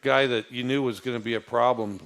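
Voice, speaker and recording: A low-voiced man with a gravelly, creaky edge, telling stories in a relaxed, meandering way. He halts often on fillers and restarts his sentences as he goes. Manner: rambling, halting with many fillers and restarts. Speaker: football coach. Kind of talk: casual storytelling.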